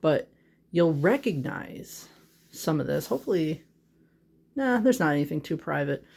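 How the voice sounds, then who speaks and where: Rambling vlogger with vocal fry. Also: mid-range voice, big pitch swings, measured pace, a little mellow. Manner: rambling, full of "like" and "um" fillers. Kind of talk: casual chat. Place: home office. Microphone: phone held in hand.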